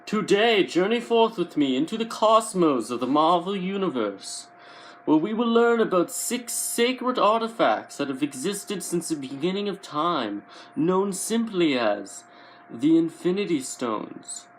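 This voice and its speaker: A grand storyteller of cosmic legend, as acted out by a man, opens an epic tale in a lifted pitch. The delivery is scripted and portentous, with theatrical, drawn-out phrases that announce each thing solemnly. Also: sing-song, slight background noise, poor audio. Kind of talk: scripted dramatic intro narration.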